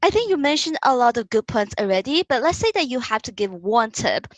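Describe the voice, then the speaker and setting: High lively woman interviewer. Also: brisk. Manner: encouraging, lots of backchannel. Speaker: interviewer, host. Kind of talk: casual interview.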